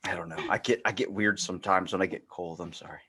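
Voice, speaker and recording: A man's voice: low voice, fairly even intonation, quick pace, bright, forceful tone, breathy edge. An American man hosting a podcast in casual conversation, telling rambling stories and acting out bits of dialogue as he goes. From United States. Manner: rambling storyteller, acting out quoted exchanges. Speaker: podcast host.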